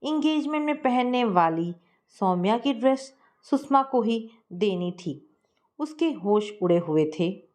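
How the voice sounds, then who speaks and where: A woman telling a scripted family story in a steady, measured way. Her voice sits a bit higher than most women's.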